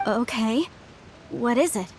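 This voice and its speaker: A woman playing an earnest, prim, sensitive young archaeologist in an anime-style video game, with an expressive delivery. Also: a little high, takes it slowly, slight background noise. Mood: troubled, defiant.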